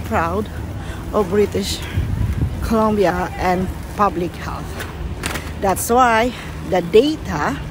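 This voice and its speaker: A young woman whose first language is Filipino, filming a walking vlog on a phone and talking earnestly, repeating words for emphasis. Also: medium pitch. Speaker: vlogger. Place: outdoors on a busy city waterfront plaza. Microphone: handheld phone camera, speaker off screen. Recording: outdoor phone recording with street noise.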